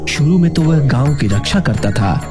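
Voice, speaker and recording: A man narrating a cartoon story in a slow, dramatic storytelling manner. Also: medium pitch, expressive. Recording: loud music underneath.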